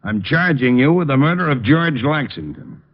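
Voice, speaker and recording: A man's voice: mid-range voice, moderate pace, natural voice. Stolid male homicide lieutenant, acted by a man, mid-range, blunt and dry, old radio drama, muffled sound. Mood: official, firm. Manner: blunt, deadpan, official. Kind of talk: 1940s-50s radio crime drama acting.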